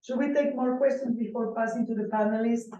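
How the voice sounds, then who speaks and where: A woman's voice: on the high side, steady tone, brisk, warm tone. A woman from Sweden moderating a conference panel, friendly and lightly teasing. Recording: echoey room, distant dull mic.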